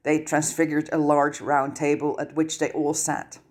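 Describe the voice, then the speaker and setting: Casting: female audiobook narrator with a low-pitched voice for scripted fiction reading. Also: flat delivery, normal speed.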